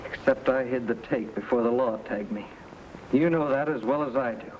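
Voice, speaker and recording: A man's voice: medium pitch, normal speed, darkish tone, lightly acted. Man acting a terse young western man, noisy recording. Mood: hard, demanding. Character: young outlaw and his twin brother, hard and insistent. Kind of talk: old-time radio western acting. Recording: noisy old radio recording.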